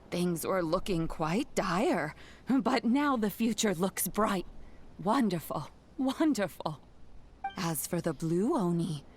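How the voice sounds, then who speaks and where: An actress playing a kindly old granny in a game, speaking in a gentle, measured, motherly way. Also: mid-range voice, slight background noise. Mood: reassuring. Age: older.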